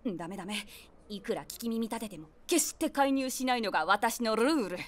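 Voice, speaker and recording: An actress plays a nosy middle-aged woman with a runaway imagination, telling a comic audio drama as inner monologue. Her voice is fairly high and very animated. She drops wry, theatrical asides as she passes judgment. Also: fairly quick, lightly acted. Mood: flustered outrage. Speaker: narrator and main character.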